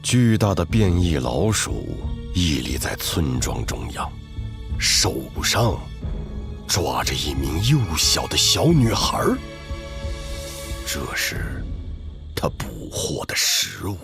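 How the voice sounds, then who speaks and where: A man's voice: low-pitched, very animated, takes it slowly, over music. A man reading scripted, dramatic story narration.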